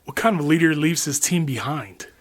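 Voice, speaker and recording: An actor playing a hot-headed, defiant special agent in an audio drama, confrontational and resentful. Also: male voice, on the high side, expressive, normal speed.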